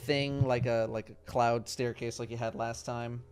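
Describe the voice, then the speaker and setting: A young man narrating as dungeon master, relaxed and hesitant, with many fillers. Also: mid-range voice, normal speed, clean tone, faint music behind. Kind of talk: narrating a tabletop game.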